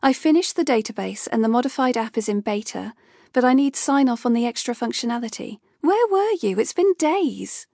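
An exasperated, outspoken young woman, read by a female narrator, firing off complaints in a high, animated voice. Her tone is forceful and scolding, with a gravelly edge in a dry close recording. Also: fast talker, dry recording, close to an everyday voice. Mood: impatient. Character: young woman, sharp, frustrated and outspoken colleague.

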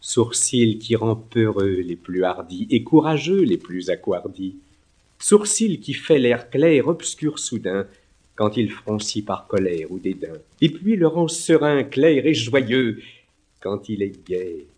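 A man's voice: fairly low pitch, very animated, takes it slowly, a little mellow, breathy edge. A man reciting literary poetry in a declamatory, lyrical and theatrical way. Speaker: poetry reader.

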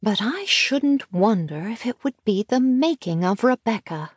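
A woman voicing a brisk, strict country mother in a storybook, plain-spoken, admonishing and sardonic. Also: fairly high pitch, very animated, husky, dry recording, studio quality, pitched above the performer's own voice, full character voice.

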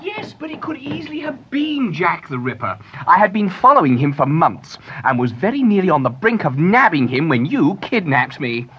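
Nutty victorian detective, high and husky, swoops all over, theatrical spoof radio play. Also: male voice, moderate pace, hardly any pauses, dull audio. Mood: excitable. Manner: theatrical, excitable, swooping delivery. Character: manic, absent-minded, boastful eccentric Victorian detective. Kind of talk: comic audio theater parody.

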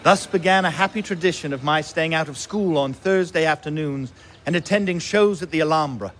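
A nostalgic, literate man tells his own story, as voiced by an actor in an audio drama. His delivery is scripted, measured and wry, with fondness for his younger self. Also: on the high side, fairly bright tone, a little hiss or hum, lightly acted. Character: grown playwright fondly recalling his lonely boyhood. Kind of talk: first-person scripted narration in audio drama.

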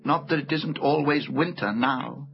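A man's voice: high voice, very animated, normal speed, dull audio, faint music behind, voice pushed up. A man voicing a timid, flustered and courteous male fawn in a storybook, with fussy, repetitive, over-polite speech. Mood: gloomy.

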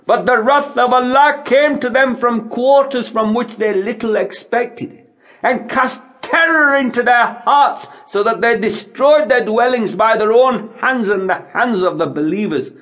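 Male audiobook narrator for sacred text: high register, unhurried pace, solemn and declamatory, phrase-by-phrase recitation. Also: fairly bright tone. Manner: measured, declamatory, phrase-by-phrase delivery. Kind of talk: solemn scripture recitation in English.

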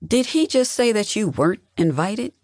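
A narrator voicing a brash, nosy, excitable younger sister who talks in a sassy, vehement way. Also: mid-range voice, a little fast, no echo, studio quality, voice pushed up, natural voice. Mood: outraged.

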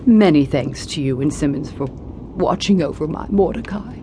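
A woman playing a genteel, low-voiced widow. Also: moderate pace, natural voice. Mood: polite, mournful. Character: genteel, kindly, grieving widow, mature lady. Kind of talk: audio horror drama acting. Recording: clear background ambience noise.

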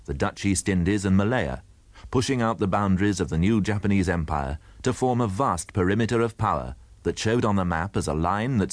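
A man narrating a history in a measured, formal scripted style. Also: fairly low pitch, dry recording.

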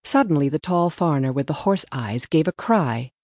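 A woman narrates an audiobook story from a script. Her voice is low for a woman and recorded dry and close, with little room sound. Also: expressive, normal speed, a little mellow, no room sound.

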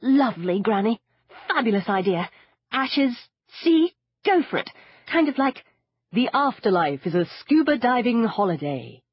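A woman's voice: on the high side, very animated, a little slow, husky, no echo, highs cut off, pitched above the performer's own voice, exaggerated voice. A woman voicing an impatient teenage girl who talks with a dry, sarcastic edge.

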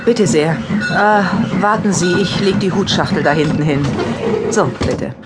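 A woman's voice: lowish voice, a little slow, loud music behind the voice, natural voice. A woman playing a courteous, reserved and educated German lady of the 1910s, giving composed, formal replies in an audio drama. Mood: polite.

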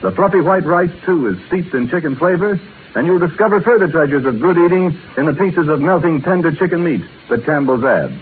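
A male radio announcer reading a sponsor's advertisement and introduction, smooth and persuasive. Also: fairly high pitch, expressive, brisk, narrow, tinny audio. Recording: scratchy 1930s radio broadcast.

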